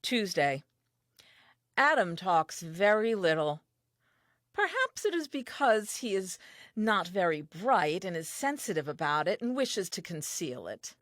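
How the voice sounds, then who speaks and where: An actress playing a curious, wonder-filled Eve in a comic diary-style audio play, speaking earnestly and theatrically. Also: female voice, on the low side, sing-song, measured pace, a bit bright, no room sound, studio quality. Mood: musing.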